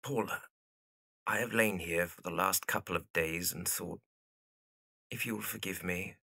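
A man playing a miserable young gentleman with a cold, speaking formally and haltingly in an audio drama. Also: low-pitched, steady tone, vocal fry, slightly rough, no room sound, clean studio sound, exaggerated voice. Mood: subdued, earnest. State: ill with a cold.

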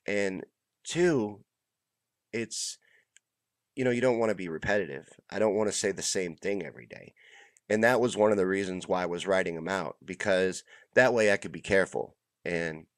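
A man's voice: deep voice, no room sound. A middle-aged man with a stuffy, congested voice, hosting a motivational monologue in a small home room on a close studio mic. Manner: earnest, pep-talk delivery with trailing pauses. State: congested, under the weather. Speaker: host. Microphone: studio mic close to the mouth.

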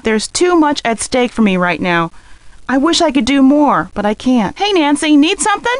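High pitched teen schoolgirl for a video game, guarded n defensive, emphatic, dry close sound. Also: no echo. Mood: anxious. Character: driven, defensive teenage high school girl.

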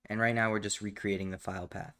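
A man with a fairly low voice narrates a software tutorial step by step. He explains each action casually and plainly, as someone talking while working at a computer. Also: flat delivery, quick pace. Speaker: tutorial host. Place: indoors at a computer, voice over screen capture.

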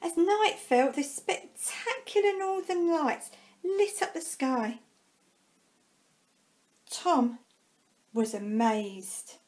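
A woman reading a children's storybook aloud expressively, with dramatic pauses and drawn-out words. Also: sits high, expressive, darkish tone. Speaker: primary school staff member reading a storybook.

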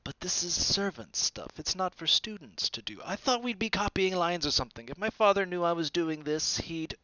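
A man's voice: lively intonation, moderate pace, pushed and bright, clean tone, no room sound, highs cut off. A man voicing a haughty, frightened rich schoolboy with a whiny voice. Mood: whiny, scared. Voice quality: whiny, higher-pitched.

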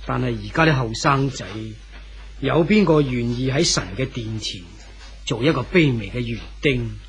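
A man playing a kindly, talkative old church pastor in a 1970s radio drama, with a Hong Kong Cantonese accent and a gentle, unhurried manner. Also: medium pitch, natural voice. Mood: kindly, reflective. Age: older.

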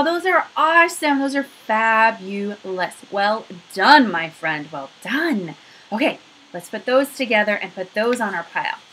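A woman's voice: high voice, a bit bright, breathy edge, rough recording. A woman in her thirties leading a craft activity for young children, talking to them directly in an encouraging way, recorded on a webcam at home. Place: small furnished room at home, indoors.

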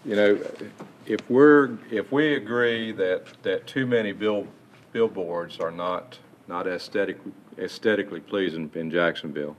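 A man's voice: sits low, highs cut off. A man on a city council, making a rambling, persuasive case during debate into a desk microphone. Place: council chamber.

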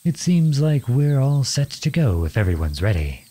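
A man acting a charming, teasing young outlawed prince in an ASMR-style romance roleplay, with a husky voice and a courtly, playful manner. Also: medium pitch, big pitch swings, normal speed, warm tone. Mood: brisk, commanding. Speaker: audio roleplay performer.

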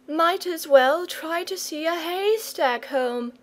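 A worn-out little storybook boy, played by a woman, whining piteously in a high voice. He sounds tearful and plaintive, stretching out his complaints. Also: slow pace. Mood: sobbing, indignant. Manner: piteous, sobbing whine. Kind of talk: children's storybook character.

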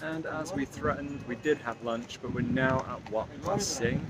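A man in his thirties giving measured explanations as a travel vlogger, filmed on a handheld phone. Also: mid-range voice, loud music behind the voice. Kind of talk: casual travel vlog. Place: outdoors at temple grounds. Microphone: phone camera at arm's length.

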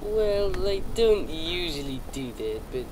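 A man playing a deadpan male hotel clerk in a game machinima, dry and matter-of-fact. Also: a little high, slow, a little hiss or hum, low-quality recording. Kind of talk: amateur game machinima acting.

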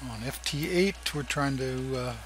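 A man giving casual, terse, halting commentary as an amateur radio operator demonstrating on screen. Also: medium pitch. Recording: clear background noise.

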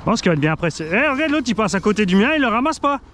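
A man's voice: sits high, very animated, very fast, background noise. A man hosting an RC car outing, chatting casually and laughing often as he drives outdoors. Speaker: host filming an RC car outing. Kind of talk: casual running chat while driving. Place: outdoors in an urban spot.

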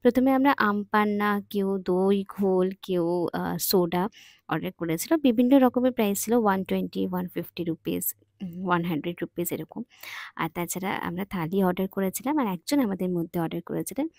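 A woman's voice: fairly low pitch, brisk, vocal fry, a little mellow, no echo. Woman vlogger with dry, close narration. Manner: conversational, addresses viewers directly. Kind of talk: casual vlog voice-over narration.

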